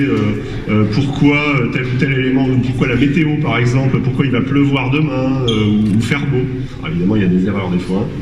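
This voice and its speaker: A man's voice: mid-range voice, far from the mic. Man explaining things in an echoey, noisy hall. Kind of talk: informal explanatory public talk. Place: large reverberant indoor public space.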